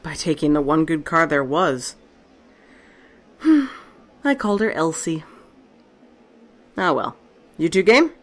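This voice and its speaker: A woman's voice: sits low, lively intonation, takes it slowly, pauses often. A woman playing Tessa, a tough, sarcastic and profane survivor, in a fan audio drama. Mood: wry.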